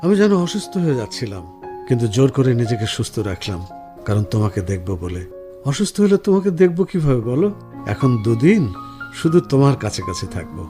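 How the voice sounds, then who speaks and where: A man acts a caring professional husband in a radio play. His voice sits at a middling pitch and he speaks tenderly and reassuringly. Light music plays behind him. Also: sing-song, a little fast, close to an everyday voice. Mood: devoted, reassuring. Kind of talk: Bengali radio drama acting.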